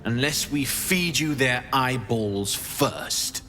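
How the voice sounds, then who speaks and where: A man's voice: mid-range voice, sharp tone, soft background music. A man playing a cunning, menacing false paladin who serves an archdevil, in dramatic video game acting. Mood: cold menace. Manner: feigned fearful pleading turning to cold, deliberate threats.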